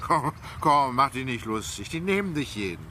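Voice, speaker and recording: A man's voice: medium pitch, sing-song, moderate pace, sharp tone, slight background noise. An actor playing a restless, brooding male writer who needles his friend, in naturalistic radio drama dialogue. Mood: brooding, searching.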